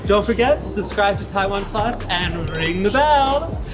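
Flirty American drag queen host, loud music. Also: high-pitched, lively intonation, unhurried. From United States. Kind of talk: upbeat travel show hosting. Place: restaurants, bars and a club at night. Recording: loud music bed under the voice.

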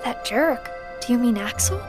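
A woman voicing a quiet, earnest teenage girl in a game cutscene, soft and gentle. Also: on the high side, lively intonation, normal speed, over music, natural voice. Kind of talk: video game cutscene acting.

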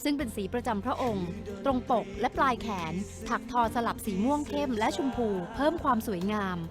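High-voiced woman news presenter. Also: fairly quick, music in the background. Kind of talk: scripted promotional news narration.

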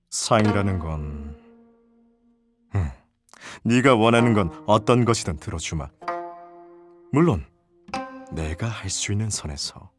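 Man acting a slow, low-voiced, indulgent Joseon nobleman. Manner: archaic aristocratic speech, teasing, gently commanding. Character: wealthy Joseon nobleman, amused, indulgent patron of a young gisaeng. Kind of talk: Korean historical roleplay ASMR audio drama. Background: traditional Korean court music.

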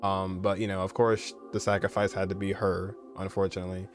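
Low-voiced young American man, casual. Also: flat delivery, a little fast, soft background music. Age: 20s. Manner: conversational, repeats "you know" and "like". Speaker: gaming streamer. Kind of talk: casual reaction commentary. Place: indoor room, streaming setup.

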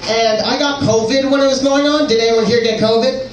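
High-voiced teenage boy doing live stand-up. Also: fairly even intonation, fairly quick. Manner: casual, slangy, exclamatory delivery. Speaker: stand-up comedian. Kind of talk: stand-up comedy, conversational crowd work. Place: indoor comedy club stage. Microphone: handheld stage microphone, picked up from afar. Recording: echoey live club, distant, noisy crowd. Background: loud audience noise.

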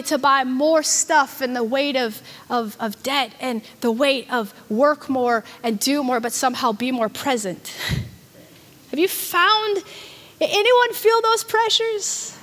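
A woman in her thirties, a pastor preaching a sermon on a church stage with a handheld mic, animated and emphatic, often restarting and repeating words. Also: high-pitched. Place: church stage with a small audience. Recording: long reverb of a church hall.

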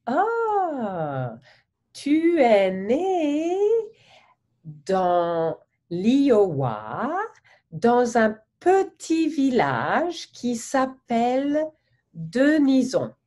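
Animated woman hosting a slow video-call interview. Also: a little high. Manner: theatrical, exaggerated questions, gestures broadly. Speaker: host interviewing a colleague. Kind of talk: slow, simple French for learners. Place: home office, indoors, virtual background. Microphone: webcam on a video call.

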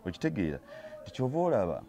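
A man's voice: mid-range voice, big pitch swings, rapid-fire, creaky, dark tone. A Ugandan man in his forties, a theatre actor giving an outdoor street interview, talking emphatically and lecturing, sometimes rising to heated bursts. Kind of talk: street interview, mixing Luganda and English. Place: outdoors by an orange door. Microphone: handheld reporter mic.

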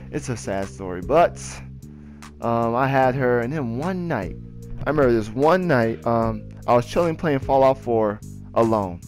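A young man telling personal stories in a casual, rambling, slangy and excitable way. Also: mid-range voice. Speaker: video creator telling personal ghost stories. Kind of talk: casual storytelling over gameplay. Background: music.

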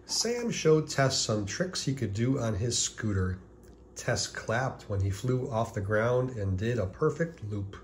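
A man reading a children's picture book aloud as scripted narration, deliberately, with pauses before key words. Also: medium pitch, slight background noise.

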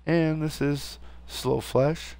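A tired, hoarse man giving casual let's play commentary, swearing and yelping at the game. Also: fairly high pitch, steady tone, slow, darkish tone. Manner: swears a lot, yelps at the game.

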